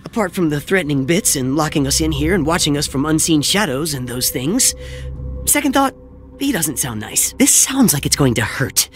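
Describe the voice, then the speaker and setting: Nervous nerdy young dude from a video game, high voice that jumps all over, faint music behind. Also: male voice, big pitch swings, normal speed. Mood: nervous, puzzled. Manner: jittery, stammering, self-deprecating. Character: nerdy, nervous, rambling young male survivor with awkward humour. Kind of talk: video game character voice lines.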